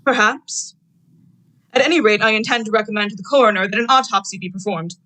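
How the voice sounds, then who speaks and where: Deliberate, probing doctor played by a young woman. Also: mid-range voice, bright, forceful tone, close to an everyday voice, poor audio. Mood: firm, suspicious. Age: young adult. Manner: deliberate, clinical. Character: probing, measured doctor who calmly accuses a murderer. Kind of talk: vintage radio drama acting.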